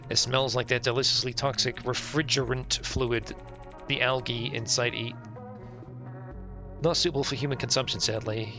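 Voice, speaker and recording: A man streaming a visual novel, reading the text aloud with irreverent, offhand asides. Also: medium pitch. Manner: irreverent, ad-libs jokes and mutters reactions. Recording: music playing behind the voice. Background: game music.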